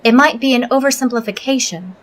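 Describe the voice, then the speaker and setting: Actress as female alien researcher officer, audio drama. Logical, measured, unemotional, raised pitch, faint recording noise. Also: close to an everyday voice. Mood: clinical, formal. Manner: measured, formal, clinical, unemotional. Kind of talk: audio drama interview and log narration.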